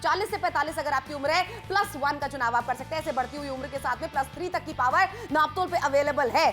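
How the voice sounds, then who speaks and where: A woman's voice: high-pitched, fast. A woman presenting a home-shopping product on TV, chatty and persuasive, eagerly agreeing with her co-host, over background music. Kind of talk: lively home-shopping pitch.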